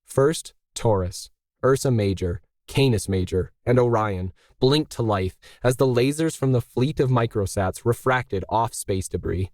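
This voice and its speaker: Male narrator for scripted fiction, medium pitch, recorded dry. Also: unhurried, a little mellow, no room sound. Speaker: story narrator.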